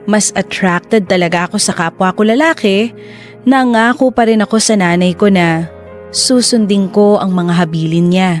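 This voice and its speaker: A man playing a reflective twenty-eight-year-old man who narrates his love story in a Tagalog radio drama. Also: high voice.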